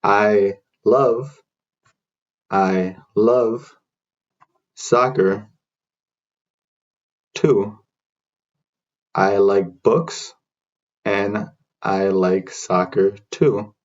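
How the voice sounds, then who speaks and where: A man teaching beginner English, repeating short phrases slowly for learners to copy. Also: lowish voice, steady tone, highs cut off. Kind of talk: slow repeat-after-me drill.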